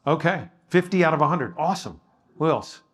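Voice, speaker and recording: A man's voice: on the high side, animated, fairly quick, fairly bright tone. A man leading a workshop discussion as a pastor, talking casually and modestly, with frequent ums and restarts in front of a live audience.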